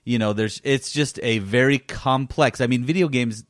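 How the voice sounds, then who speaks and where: A man's voice: mid-range voice, normal speed, dry recording, clean studio sound. A man co-hosting a podcast, chatting casually about gaming news and thinking aloud in an informal, emphatic way. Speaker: podcast co-host.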